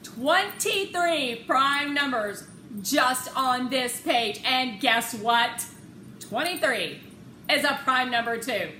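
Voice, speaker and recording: A woman voicing an excitable, literal-minded school-age girl who blurts out loud, emphatic announcements in a children's storybook reading. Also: sits high, takes it slowly, voice pushed up, heavily acted. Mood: proud, announcing. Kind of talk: children's novel read-aloud. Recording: echoey room with clear background noise.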